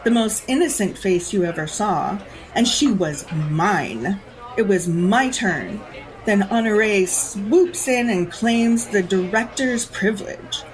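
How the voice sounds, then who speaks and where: Jaded, predatory society woman, slow and swooping. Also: mid-range voice, animated, poor audio. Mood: indignant. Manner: theatrical, drawling, haughty and teasing. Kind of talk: audio drama acting.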